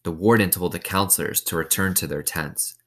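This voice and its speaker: A man reading a children's novel aloud, pausing to explain things simply and put questions to listeners. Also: deep voice, fairly bright tone. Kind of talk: read-aloud storytelling with explanatory asides.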